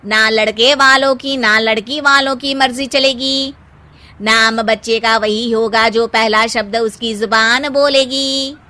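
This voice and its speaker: A woman's voice: on the high side, steady tone, measured pace, sharp tone. A woman voicing a greedy, placating male priest in a comic cartoon, with pompous pronouncements.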